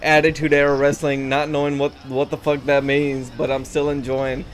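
A man's voice: on the high side, moderate pace, slight background noise. A man streaming an online game with friends, laughing a lot and cursing casually. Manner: laughs a lot, curses, animated. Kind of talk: casual chat.

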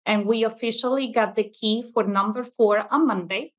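A woman's voice: fairly high pitch, normal speed. A middle-aged woman from Venezuela, whose first language was Italian, speaking with a Venezuelan accent as an interview guest. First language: Italian first language, raised in Venezuela. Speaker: interview guest, childcare school owner. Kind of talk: conversational interview answers. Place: classroom, video call.

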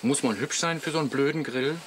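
An actor playing a doting, slightly bumbling husband in a radio play, coaxing and hesitant. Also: male voice, medium pitch, fast, noisy background, natural voice. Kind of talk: German radio play acting.

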